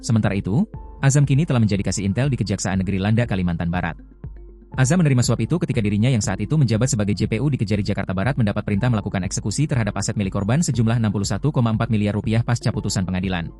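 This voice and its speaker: A man's voice: on the low side, racing, warm tone, soft background music. A man reading scripted news narration as a news narrator.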